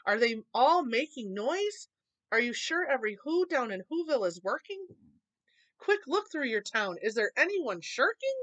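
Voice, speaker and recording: A woman's voice: high voice, sing-song, normal speed, fairly bright tone. A woman playing a kind, earnest male elephant from a storybook, pleading and urgent. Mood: urging. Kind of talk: storybook character voice.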